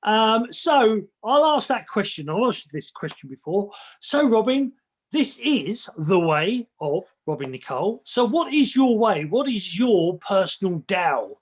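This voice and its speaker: A podcast host with a slow, drawn-out mystical way of phrasing, opening the show and greeting a guest. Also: medium pitch, sing-song, breathy edge. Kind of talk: scripted show intro, then casual chat.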